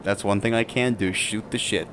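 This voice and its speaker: A man's voice: on the low side, steady tone, normal speed, a bit bright, a little hiss or hum, natural voice. A man playing a glib, cocky young hustler in an audio drama. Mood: sly.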